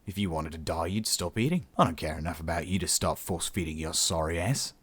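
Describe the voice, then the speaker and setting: A man's voice: deep voice, fast, fairly bright tone, husky. A man voicing a blunt, awkward Australian space adventurer in an audiobook, speaking informally. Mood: dry, needling.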